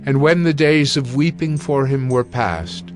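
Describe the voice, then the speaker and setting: Measured male Bible narrator. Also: medium pitch, music in the background. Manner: measured, formal. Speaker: scripture narrator. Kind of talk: solemn scripted Bible narration.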